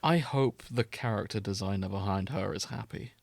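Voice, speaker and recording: A man's voice: on the low side, normal speed, gravelly, no echo. A man co-hosting a casual podcast, chatting and reading fan fiction in an amused, wry, sweary way. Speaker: podcast co-host.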